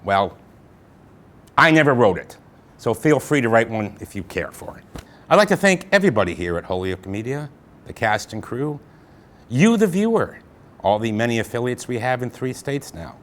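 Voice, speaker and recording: A man's voice: mid-range voice, very animated, normal speed, fairly bright tone. A man hosting a show, signing off with a folksy, jokey, old-fashioned announcer manner. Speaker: host. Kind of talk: scripted show sign-off.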